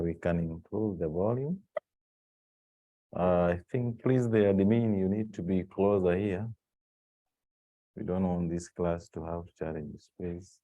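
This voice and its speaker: A man teaching a spreadsheet lesson to an online class, explaining in a halting, repetitive way with frequent pauses. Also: deep voice, dark tone. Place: live online class over a microphone.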